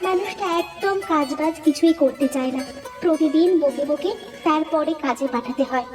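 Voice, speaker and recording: A woman voicing a bossy, scolding village housewife in an animated fairy tale, nagging and sharp-tongued. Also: high-pitched, moderate pace, recorded from a distance, faint music behind, close to an everyday voice. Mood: complaining.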